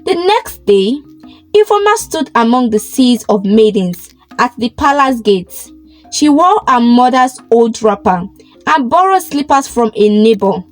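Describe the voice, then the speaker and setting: A woman narrating a scripted folktale in a dramatic, expressive way. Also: on the high side, a little slow, faint music behind, rough recording. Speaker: storyteller, narrator.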